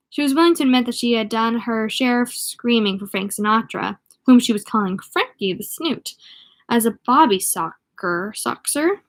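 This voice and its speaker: Woman reading aloud, somewhat high voice. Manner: stumbles over words and rereads phrases. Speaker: amateur audiobook reader.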